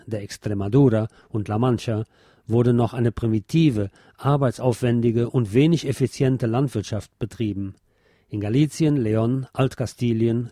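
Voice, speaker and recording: A man reading scripted history narration as a podcast host, in a measured, factual documentary manner. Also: medium pitch, dark tone, no echo, clean studio sound.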